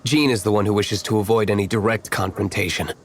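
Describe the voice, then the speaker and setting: A man's voice: lowish voice, steady tone, fast, slight background noise, lightly acted. A man voicing a stern male swordsman who speaks in a curt, clipped way. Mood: blunt. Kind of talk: video game cutscene dialogue.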